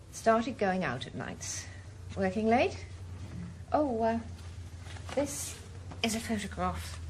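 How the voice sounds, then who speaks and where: A woman's voice: fairly high pitch, slight background noise. An actress playing an anxious, hesitant married woman asking a detective for help, in a radio drama. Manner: hesitant, faltering. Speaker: client seeking a private detective. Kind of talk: 1980s radio drama acting.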